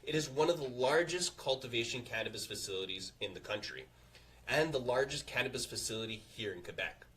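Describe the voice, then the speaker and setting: A man reading a scripted earnings presentation to investors as a company executive, in a formal, confident, measured way. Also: medium pitch, lively intonation, fairly bright tone, a little hiss or hum.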